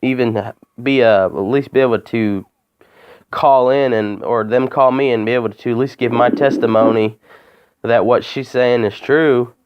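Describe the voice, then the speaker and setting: A man making a complaint by phone, talking in a halting, rambling way and repeating himself. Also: mid-range voice, steady tone, slight background noise. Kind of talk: phone call, informal account. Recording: muffled phone call recording.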